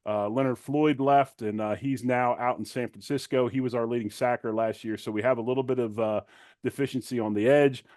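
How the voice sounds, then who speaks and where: A man's voice: medium pitch, fairly even intonation, fairly quick, darkish tone, no echo, studio quality. A middle-aged man, a former player turned commentator, giving conversational sports analysis in an informal, plain-spoken way.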